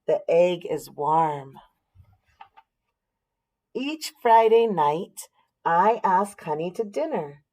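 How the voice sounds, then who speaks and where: A woman's voice: fairly low pitch, animated, drawn-out words, pauses often, mellow. An American woman reading a picture book aloud expressively, with explanatory asides and questions for young listeners.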